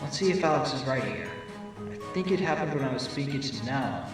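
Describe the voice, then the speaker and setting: A man's voice: medium pitch, expressive, brisk, over music, lightly acted. A man playing a confident fox defence lawyer with an assertive, probing courtroom manner in an audio drama. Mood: thoughtful.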